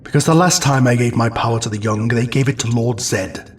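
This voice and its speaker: A man playing an ancient, stern and weary wizard in an audio drama, speaking solemnly and deliberately. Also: medium pitch, recorded from a distance, close to an everyday voice. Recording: off-mic with faint noise.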